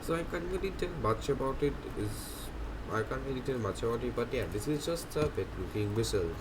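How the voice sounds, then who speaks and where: A man's voice: medium pitch, animated, brisk, background noise. A man giving unscripted gameplay commentary, explaining as he goes in a rambling way, often repeating and correcting himself. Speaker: game video narrator. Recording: music playing loudly behind voice.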